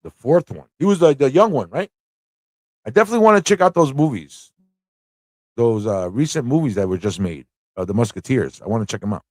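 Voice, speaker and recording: A man's voice: mid-range voice, expressive, brisk, darkish tone, no room sound. Casual man hosting, close dry sound. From United States. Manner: conversational, reads questions aloud and repeats them. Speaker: livestream host running a trivia quiz. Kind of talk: casual live quiz hosting, chatting with viewers.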